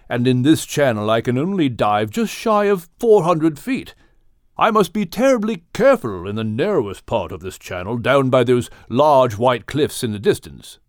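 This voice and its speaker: A man voicing a genial, gentlemanly whale for an audiobook, with a British accent and polite, old-fashioned manners. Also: medium pitch, dry recording, clean studio sound. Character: genial, courteous upper-crust male whale. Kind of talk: audiobook character acting.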